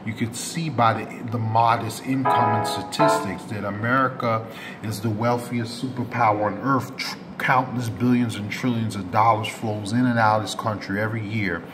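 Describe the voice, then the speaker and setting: A man hosting a community news channel, giving unscripted commentary to subscribers in a rambling way, often correcting himself with rough approximations. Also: on the low side, poor audio. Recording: clear background noise, ambient room sound.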